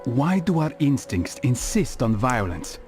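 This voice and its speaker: A stern, philosophical assassin in a video game cutscene. His mid-pitched voice weighs each formal phrase with deliberation. Music plays softly behind him. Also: big pitch swings, measured pace. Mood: brooding. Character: grave, philosophical male assassin, stern and measured.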